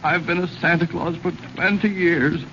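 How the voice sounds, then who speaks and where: A man's voice: a little high, fairly even intonation, moderate pace, natural voice. A man playing a nerve-shattered veteran store Santa who laments melodramatically, in 1930s radio comedy style. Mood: despairing. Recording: muffled, hissy 1930s radio recording.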